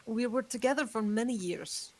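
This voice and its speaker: A woman's voice: fairly high pitch, moderate pace, close to an everyday voice. A woman reading the part of an ageless female vampire, guarded and remorseful, in a table read. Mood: somber.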